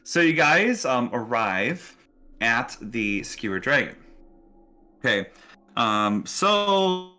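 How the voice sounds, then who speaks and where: A man narrating a tabletop game as game master, casual and halting, often rephrasing as he describes scenes. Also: medium pitch, very animated, pushed and bright, faint music behind.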